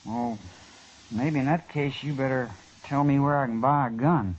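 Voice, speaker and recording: An actor playing an earnest, anxious eighteen-year-old youth, polite and hesitant, in an old time radio western. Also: male voice, medium pitch, steady tone, moderate pace, a little hiss or hum, natural voice. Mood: earnest, worried. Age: young adult. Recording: muffled, dull old radio recording.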